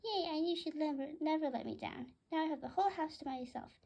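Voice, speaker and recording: Woman voicing a high, excitable little cockatiel. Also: fast talker, creaky. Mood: gleeful. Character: excitable young pet cockatiels bickering and chatting in a story.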